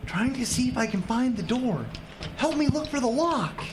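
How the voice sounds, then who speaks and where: A man's voice: extremely high pitch, lively intonation, normal speed. A man playing an eager, stubborn, teasing young husband in an audio drama. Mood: impatient, excited. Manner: eager, coaxing. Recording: clearly noisy amateur radio drama recording.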